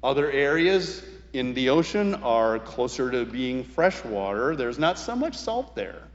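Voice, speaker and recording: Male preacher, medium register, thoughtful and measured sermon with weighted pauses; dull-sounding, reverberant hall recording. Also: medium pitch, sing-song.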